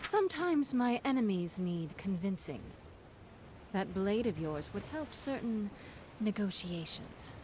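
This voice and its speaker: Female pirate captain, confident, teasing, lively swooping delivery, noisy ambient backdrop, video game. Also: mid-range voice, a little slow. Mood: sly, cool.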